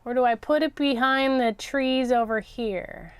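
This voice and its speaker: Woman, high voice, slow pace, casual craft livestream host, chatty, thinking out loud. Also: clean tone, no echo. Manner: chatty, self-narrating, repeats words. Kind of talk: casual live craft chat, thinking aloud.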